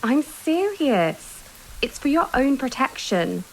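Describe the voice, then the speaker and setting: High-voiced, matter-of-fact carer. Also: female voice, sing-song, slow pace, exaggerated voice, low-quality recording. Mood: patient, firm. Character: patient, firm female carer of an old man. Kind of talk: audio drama acting.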